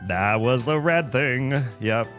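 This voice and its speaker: A man's voice: medium pitch, takes it slowly, fairly bright tone. A man from the Southern United States with a Southern accent, chatting casually on a livestream while gaming, rambling and wry. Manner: rambling, self-interrupting, wry. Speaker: streamer. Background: background music.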